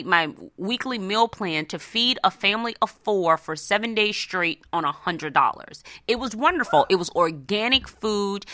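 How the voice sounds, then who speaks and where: A woman's voice: medium pitch, pushed and bright, dry recording, clean studio sound. A woman hosting a radio show, giving conversational, motivational advice in an emphatic way, repeating phrases to drive points home.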